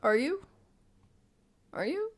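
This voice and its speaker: A woman's voice: high-pitched, big pitch swings, slow pace. A woman live streaming her reactions to a video in casual chat, with sarcastic, teasing asides.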